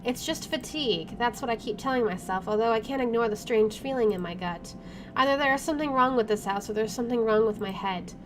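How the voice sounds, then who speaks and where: A woman's voice: a little high, a little fast. A woman game streamer reading visual novel narration aloud, with her own asides. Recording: clearly noisy home recording.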